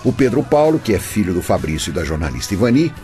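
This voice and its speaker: A Brazilian man narrates a radio drama in a lowish, very lively voice. He chats straight to the listeners as a scripted storyteller, with light music underneath. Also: quick pace. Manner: chatty, addresses listeners directly.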